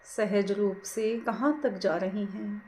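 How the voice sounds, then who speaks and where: A woman leading a guided meditation, giving soothing, gentle, unhurried instructions. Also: medium pitch, steady tone, a little hiss or hum, rough recording. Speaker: meditation teacher.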